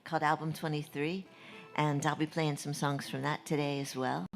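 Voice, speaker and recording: A woman's voice: sits low, flat delivery, moderate pace, vocal fry, soft background music. A middle-aged woman, a touring singer-songwriter, chatting casually and laughing often as a radio guest. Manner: warm, chatty, laughs a lot.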